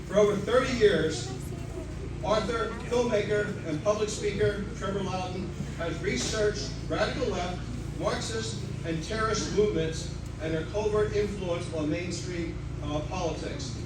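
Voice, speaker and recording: A man introducing a guest speaker at a rally, reading a bio with small stumbles. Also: high-pitched. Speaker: event emcee. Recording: echoey hall, far mic, loud noise.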